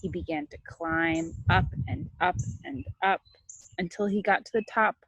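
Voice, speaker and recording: Female kindergarten teacher, slightly low voice, dry recording, expressive read-aloud with casual asides to the class. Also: measured pace, dry recording, muffled recording. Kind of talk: read-aloud storytime for young children.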